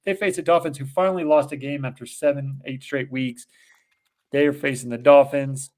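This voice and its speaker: A man's voice: mid-range voice, fairly even intonation, moderate pace, a little mellow. A man with a Boston accent co-hosting a casual football picks show, rattling off confident predictions. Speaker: sports picks co-host. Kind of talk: casual sports betting chat.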